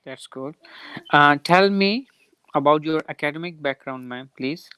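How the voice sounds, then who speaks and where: A man from Karachi, a student speaking politely and haltingly on a video call. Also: a little high, bright, forceful tone, clean tone. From Karachi, Pakistan. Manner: polite, halting, says ma'am. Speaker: student on a live class.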